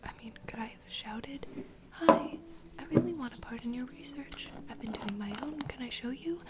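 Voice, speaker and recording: A woman playing a nurturing, gossipy vampire bartender in an ASMR fantasy roleplay, speaking in a soft, breathy, confiding whisper. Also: high voice, moderate pace, very noisy, close to an everyday voice. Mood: gossipy, wistful. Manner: intimate, confiding, gentle.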